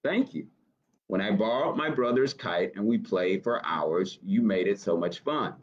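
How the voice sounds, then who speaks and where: An earnest young storybook cat voiced by a man speaks with heartfelt gratitude. His pitch lilts up and down in unhurried phrases. The webcam sound is a little dull. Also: animated. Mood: grateful. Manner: earnest, heartfelt reading-aloud delivery. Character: earnest, grateful young male cartoon cat.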